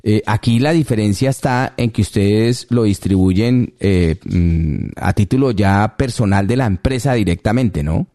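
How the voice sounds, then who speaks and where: Mellow-voiced male radio host. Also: fairly low pitch, measured pace, few pauses. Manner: questioning, brief interjections like "ujú". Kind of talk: radio interview.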